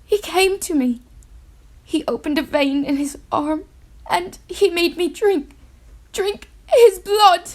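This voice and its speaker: A woman's voice: very high-pitched, slow. A woman playing an anguished young woman under a vampire's spell in a melodramatic radio drama. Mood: tearful anguish.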